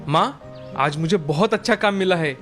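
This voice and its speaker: A man's voice: on the high side, normal speed, fairly bright tone, soft background music, lightly acted. A man voicing a kind, earnest young butcher and devoted son in a story, speaking politely.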